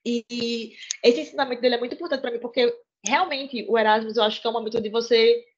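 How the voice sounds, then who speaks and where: A woman's voice: fairly high pitch, steady tone, fast talker, muffled recording. A young woman, a law student talking informally to fellow students, chatty and earnest, with many fillers and self-corrections.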